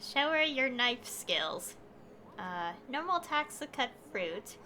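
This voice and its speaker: A woman's voice: fairly high pitch, big pitch swings, slow pace, slight background noise. A woman streaming a game, giving casual live commentary and reading lines aloud. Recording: game music faintly behind. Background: soft game music.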